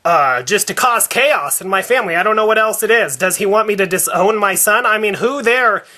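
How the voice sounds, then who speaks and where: A man acts out an indignant, devout father in a prank phone complaint. His voice sits high with a bright, sharp edge. He stammers, stalls and circles back over his grievances. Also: expressive. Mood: flustered, suspicious. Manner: halting, stammering, repetitive. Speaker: prank caller.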